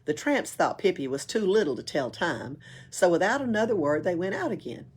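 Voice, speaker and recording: A woman's voice: fairly low pitch, sing-song, a little fast, husky. A woman reading a children's book aloud expressively, as at a library storytime.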